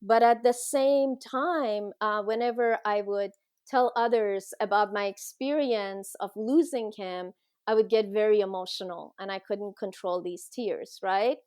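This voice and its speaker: Casting: middle-aged woman from Iran, podcast guest, heartfelt conversational storyteller, medium pitch, recorded dry. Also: a little slow, darkish tone, clean tone, dry recording.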